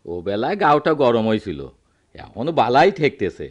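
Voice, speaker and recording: A man's voice: medium pitch, big pitch swings, unhurried, lo-fi sound. An actor playing a weary, ailing older village father in a radio drama, musing in a folksy rural eastern Bengali dialect. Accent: rural eastern Bengali dialect, Bangladesh.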